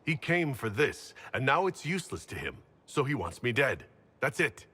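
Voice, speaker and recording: A man's voice: mid-range voice, sing-song, moderate pace, sharp tone, breathy edge, full character voice. A man playing an earnest, plain-spoken hero burdened with leadership in a fantasy video game. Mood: troubled. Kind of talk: video game cutscene acting.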